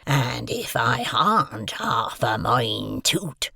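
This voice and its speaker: A man voicing a hungry, threatening escaped convict, speaking in slow, growling, half-whispered threats. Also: mid-range voice, big pitch swings, creaky, bright, forceful tone, breathy edge, no room sound, studio quality, heavily acted. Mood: menacing. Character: menacing ragged male convict, hungry and threatening.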